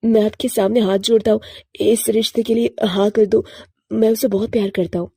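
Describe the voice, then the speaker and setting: A woman voicing a lovestruck young male crow in an earnest, pleading way for an animated story. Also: mid-range voice, a little fast, gravelly, husky, no room sound. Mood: hurt, pleading.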